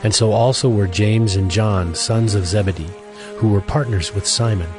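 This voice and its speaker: Casting: male narrator, slightly low voice, scripted scripture storytelling, music bed. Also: moderate pace. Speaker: Bible narrator.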